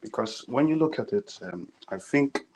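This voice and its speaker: A man's voice: medium pitch, expressive, moderate pace. A man from Sweden with a Swedish accent, calling in to a podcast and giving his view hesitantly. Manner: hesitant, many fillers and restarts. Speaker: caller. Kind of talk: casual call-in opinion. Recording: faint background noise on call.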